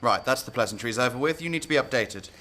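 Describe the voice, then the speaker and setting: A man's voice: medium pitch, lively intonation, quick pace, sharp tone. Blunt, sardonic security chief, played by a man. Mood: businesslike. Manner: blunt, sarcastic. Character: sardonic male British security service chief, blunt and scornful. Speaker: actor in a radio drama. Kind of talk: radio drama dialogue.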